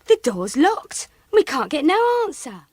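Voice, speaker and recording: Young maid, very high bouncy voice, artless and dutiful, dry sound. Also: female voice, huge pitch swings, measured pace, darkish tone, no echo, heavily acted. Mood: urgent. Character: young, artless, dutiful housemaid with homely speech. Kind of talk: radio drama acting.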